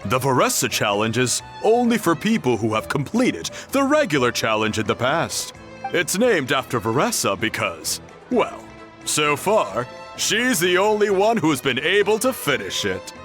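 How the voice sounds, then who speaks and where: Jovial game contest host, hearty storyteller, mid-pitched, lively intonation, light music behind. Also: male voice, measured pace, full character voice. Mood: amused, explaining. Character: jovial man hosting a village eating contest. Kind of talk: video game voice acting.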